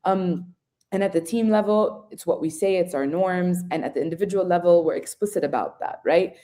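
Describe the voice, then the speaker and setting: A woman's voice: lowish voice, a little fast, warm tone. A woman explaining ideas on a webinar, often checking in with listeners. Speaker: webinar guest.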